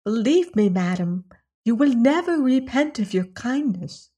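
A woman voicing a kindly fairy, formerly a mouse, who speaks calmly and gently. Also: a little high, a little slow, breathy edge, voice pushed up, heavily acted. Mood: reassuring.

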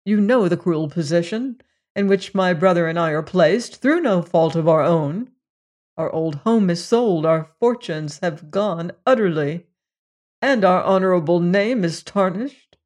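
An earnest, proud young gentleman who presses his case with sincerity and held-back anger, as the reader gives him voice. His pitch is middling and the delivery grave. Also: natural voice. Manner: earnest, restrained, then defiant.

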